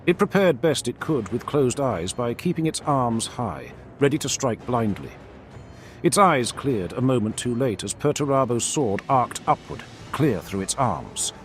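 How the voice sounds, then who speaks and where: A man's voice: medium pitch, very animated, measured pace, a little hiss or hum. A man reading scripted battle fiction as a dramatic narrator, with theatrical, emphatic storytelling. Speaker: audiobook-style narrator. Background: background music.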